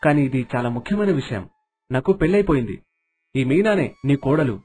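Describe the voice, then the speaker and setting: Polite, earnest young landlord's son played by a man, mid-pitched husky voice, cartoon moral tale. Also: expressive, fairly quick, darkish tone. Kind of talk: cartoon moral story voice acting. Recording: dialogue over background music.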